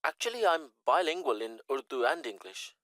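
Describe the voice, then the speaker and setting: A man's voice: mid-range voice, very animated, a little fast, a bit bright, dry recording, studio quality. A man acting a polite, careful student making an enquiry in a scripted listening-test dialogue. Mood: polite, eager. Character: courteous eager male Pakistani student enquiring by phone.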